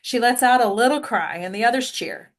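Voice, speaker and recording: A woman narrates a script at a table read. Her pitch is a little high. She reads out the stage directions in scripted narration. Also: expressive, a little fast.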